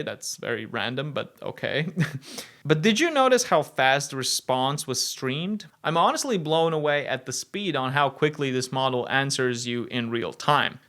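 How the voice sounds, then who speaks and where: A man's voice: mid-range voice, a bit bright. A man from Latvia, whose first language is Latvian, narrating a casual tech demo with enthusiastic, amused step-by-step commentary. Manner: enthusiastic, amused, walks through tests step by step. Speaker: tech video host.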